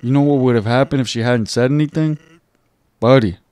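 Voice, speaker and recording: A man playing a video game on a let's play, reading a character's dialogue aloud in a relaxed, jokey way. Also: mid-range voice, brisk, smooth. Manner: laid-back, jokey, reads lines aloud. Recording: faint game music behind.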